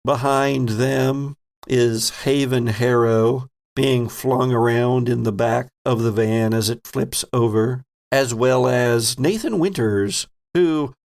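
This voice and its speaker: Slow, gravelly male horror game master. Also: mid-range voice, a little mellow. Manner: dramatic, deliberate, playful emphasis. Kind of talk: theatrical narration for an actual-play horror podcast.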